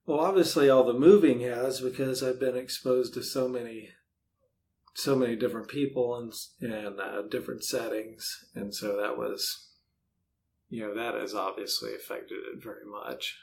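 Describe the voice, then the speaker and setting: Man chatting casually, faint rumble behind. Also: steady tone, moderate pace, gravelly, darkish tone. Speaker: podcast guest, writer. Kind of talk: casual conversational interview answers. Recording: faint low rumbling background noise.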